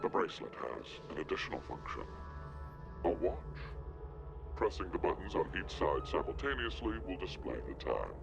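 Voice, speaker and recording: An actor playing a calm, sinister mastermind in a video game, speaking in a measured, theatrical and ominous way. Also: male voice, low-pitched, creaky, lo-fi sound, music in the background, heavily acted. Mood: commanding, detached. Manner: measured, deliberate, ominous storytelling. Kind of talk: video game villain monologue.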